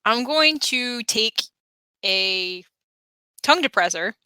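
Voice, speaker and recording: A woman's voice: on the high side, animated, slow, sharp tone, smooth, dry recording. A woman playing a tabletop game, chatting casually with playful, quirky wording.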